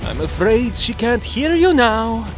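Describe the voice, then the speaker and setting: A man's voice: very high-pitched, sing-song, a little slow, music in the background, full character voice. An actor playing a menacing ancient male vampire lord, gloating and theatrical, in an audio drama.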